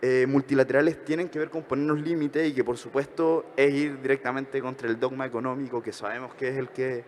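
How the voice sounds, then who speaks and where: A man with a Chilean accent giving reflections at a conference workshop, talking fast with frequent hesitations and self-corrections. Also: mid-range voice, level tone, a little mellow, long reverb tail, a little hiss or hum. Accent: Chilean Spanish. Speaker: conference speaker.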